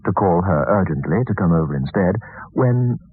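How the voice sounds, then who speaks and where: A grave narrator tells a suspense story in an extremely deep voice. It is old radio drama, thin in bandwidth, with music playing underneath. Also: male voice, animated, normal speed, narrow, tinny audio. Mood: grave, suspenseful.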